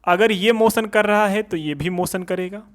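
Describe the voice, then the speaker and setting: High-pitched man teaching. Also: normal speed. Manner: repeats points as rhetorical questions, checking in with students; switches between Hindi and English technical terms. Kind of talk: exam coaching lecture at a board.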